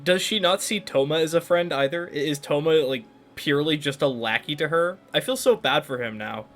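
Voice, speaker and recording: A woman's voice: low-pitched, a little fast, soft background music. A woman giving casual, slangy, playful and wry commentary as she plays a video game. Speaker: gamer commenting on her playthrough.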